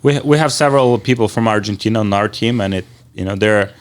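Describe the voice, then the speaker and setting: Halting, rambling male interviewer. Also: on the low side. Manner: halting, rambling phrasing with repeated filler words. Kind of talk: casual conversational interview.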